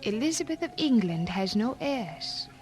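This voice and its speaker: A woman's voice: a little high, big pitch swings, a little slow, a little mellow, soft background music. An actress playing a proud, ambitious young queen in a historical audio drama, speaking in a formal, regal manner. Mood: guarded ambition.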